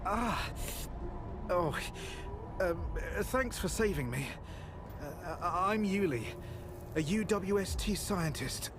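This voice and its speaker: An actor playing a timid, shaken male scientist in a video game, halting and stammering. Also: high-pitched, animated, background noise. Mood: shaken, grateful.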